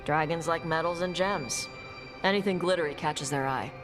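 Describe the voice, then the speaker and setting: A woman voicing a blunt young dragon hunter who talks in short, gruff, dismissive lines in a game cutscene. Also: low-pitched, normal speed, over music, natural voice. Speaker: treasure hunter. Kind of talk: video game cutscene dialogue.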